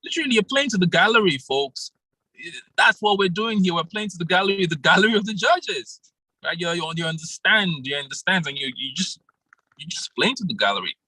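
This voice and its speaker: A man coaching contestants in an interactive online workshop, animated, laughing often and calling on participants by name. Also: sits high, brisk, breathy edge, no room sound, rough recording. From Nigeria. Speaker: public speaking coach. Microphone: video call.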